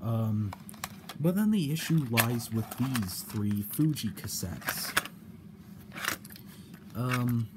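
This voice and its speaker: A man giving a casual explanation in a hobby vlog, halting and often repeating his phrases. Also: medium pitch, animated. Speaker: cassette hobbyist demonstrating a problem. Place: indoor room at home. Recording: clear background noise.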